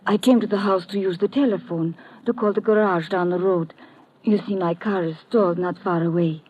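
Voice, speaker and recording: Actress as a breathy young lady. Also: medium pitch, steady tone, fairly quick, a little mellow, close to an everyday voice. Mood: guarded. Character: dazed, guarded young foreign lady. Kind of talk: old-time radio drama acting. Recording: muffled, tinny old radio recording.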